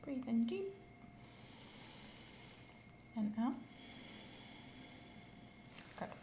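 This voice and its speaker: Soft-spoken woman giving a gentle exam. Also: on the high side, extremely slow, dull audio, a little hiss or hum. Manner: calm, gentle, clinical instructions, slipping into whispers. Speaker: ASMR creator playing a nurse. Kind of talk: ASMR medical examination roleplay. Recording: roomy echo with clear background ambience.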